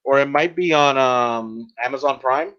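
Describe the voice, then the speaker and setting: A man's voice: medium pitch, unhurried, fairly bright tone, smooth. A man co-hosting a podcast in casual chat, recorded with slightly dull, lo-fi sound. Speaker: podcast co-host.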